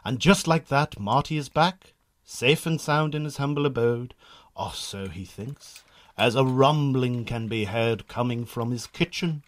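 A man narrates a radio drama in a Northern Irish accent. His voice sits at a middle pitch, and he reads scripted scene descriptions as a storyteller. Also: measured pace.

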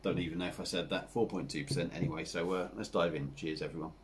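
A man in his forties with an English accent, chatting casually to camera as he reviews a beer at his home bar, rambling and relaxed with lots of fillers. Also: deep voice, fast, a little hiss or hum. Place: home bar room with dartboard and signs.

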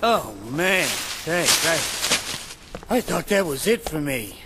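A man's voice: a little high, very animated, takes it slowly, loud music behind the voice, full character voice. An actor playing a nervous, flustered male asylum guard in a video game cutscene. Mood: shaken. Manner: jittery, flustered. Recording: long reverb of stone corridor.

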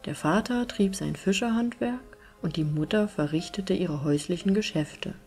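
A woman's voice: lowish voice, moderate pace. A woman reading a fairy tale aloud as scripted audiobook narration. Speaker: storyteller, audiobook reader. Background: soft music.

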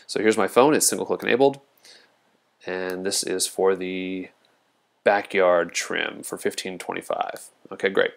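Low, creaky-voiced man giving a demo. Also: unhurried. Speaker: product demonstrator. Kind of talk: casual screen-recorded software walkthrough. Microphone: voice-over recorded over a screen demo.

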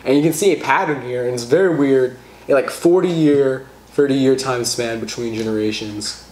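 A man's voice: medium pitch, normal speed, darkish tone. Rambling young guy in an echoey room. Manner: rambling, chatty, many fillers and restarts. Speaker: vlogger. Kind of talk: casual tutorial vlog. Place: small room indoors at a table. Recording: echoey room with faint hiss.

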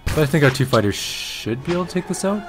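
A man's voice: a little high, expressive, fast talker. A man giving casual let's play commentary on a video game as he plays. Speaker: gaming video host. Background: game music and effects.

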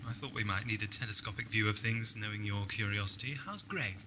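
An actor playing a wry, suspicious time traveller in a sci-fi audio drama, dry and brisk. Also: male voice, lowish voice, heavy background noise, close to an everyday voice.

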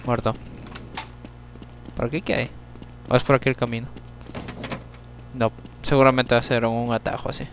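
A young man giving chatty gameplay commentary, talking to himself, swearing at the game and breaking into sudden yells. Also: medium pitch, little pitch movement, moderate pace, pauses often, a little hiss or hum. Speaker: let's play gamer.

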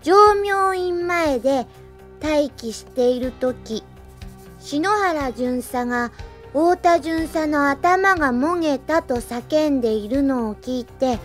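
An earnest young policewoman with a very high, girlish voice. She thinks aloud at an unhurried, slow pace as she writes up her report. It is an anime radio drama with music. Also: lively intonation. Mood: earnest, indignant. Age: young adult. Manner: thinking aloud while writing a diary. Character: young policewoman, earnest, girlish, scatterbrained rookie robot pilot.

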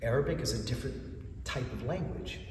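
A man in his fifties, a church chanter whose first language is Greek, answering interview questions with animated stories and acted-out dialogue, recorded in a large church interior from a camera at a distance. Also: medium pitch, fairly quick, slight background noise. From United States. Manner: animated storyteller, acts out dialogue in a raised voice. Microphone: far camera. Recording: echoey church from far off.

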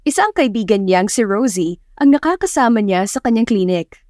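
A woman's voice: medium pitch, lively intonation, normal speed, darkish tone, dry recording. Filipina-woman narrating, close and dry. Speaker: audiobook narrator. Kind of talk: scripted romance story narration.

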